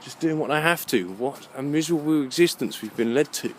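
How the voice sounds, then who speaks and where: A man giving a rambling, halting monologue as he walks outdoors, musing and questioning himself. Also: a little high, a little hiss or hum. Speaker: vlogger. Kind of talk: rambling walk-and-talk monologue. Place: outdoors, walking along a muddy alleyway.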